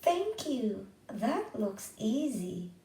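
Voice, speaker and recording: A woman's voice: a little high, very animated, extremely slow, mellow, full character voice. A woman voicing an eager, clumsy young click beetle in a children's storybook. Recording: roomy echo, distant from microphone.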